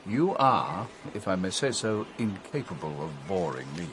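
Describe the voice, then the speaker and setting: A man's voice: deep voice, moderate pace. Middle-aged male lead: worldly, fond, independent, swooping intonation, radio drama with slight background noise. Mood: tender, earnest. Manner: courtly, expressive. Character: older lover, worldly independent smuggler, courtly and fond.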